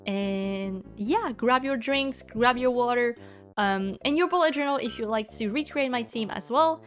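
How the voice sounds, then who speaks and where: A woman's voice: a little high, a little slow, soft background music. A young woman from Quebec with a Quebec French accent, speaking English as a second language in a chatty voice-over, full of ums and likes. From Quebec, Canada. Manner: chatty, many ums and likes, laughs often. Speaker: bullet journal YouTuber. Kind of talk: casual voice-over commentary.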